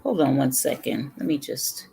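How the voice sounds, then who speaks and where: A woman's voice: a bass voice, lively intonation, moderate pace, vocal fry, mellow, husky, low-quality recording. A middle-aged woman from the Bronx with a New York accent, chatting casually with viewers on a home livestream and rambling between sips of her drink. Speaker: livestream host. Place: home room with brick wall.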